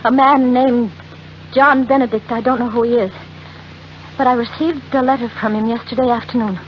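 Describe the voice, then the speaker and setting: A young well-to-do wife , acted by a woman, with a high voice and an earnest, melodramatic delivery. It sounds like 1940s radio drama, muffled and faintly hissy. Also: steady tone, natural voice. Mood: distressed, pleading. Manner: earnest, melodramatic, halting under strain. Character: young wealthy wife, affectionate but anxious and secretive.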